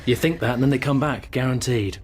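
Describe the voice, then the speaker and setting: An actress playing a brash, bloodthirsty pest exterminator in a radio drama, blunt and pushy. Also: female voice, rumbling low, fairly quick, a little mellow, a little hiss or hum. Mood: businesslike.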